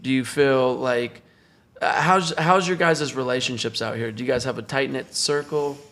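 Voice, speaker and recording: A man's voice: mid-range voice, normal speed, crowd noise. Laid-back male podcast host. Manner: laid-back, loose, full of fillers. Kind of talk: casual podcast interview.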